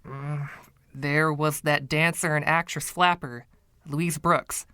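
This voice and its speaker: A man's voice: a little high, fairly even intonation, slow pace, pushed and bright, clear, resonant tone, dry recording, studio quality. A man voicing an exasperated, doubting pianist in a short story reading, questioning in an incredulous way. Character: exasperated, doubting male pianist narrator named Andre.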